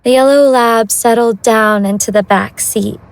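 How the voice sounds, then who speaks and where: A woman's voice: on the high side, fairly even intonation, a little slow, clear, resonant tone, rough recording. A woman narrating a scripted audio drama as its storyteller.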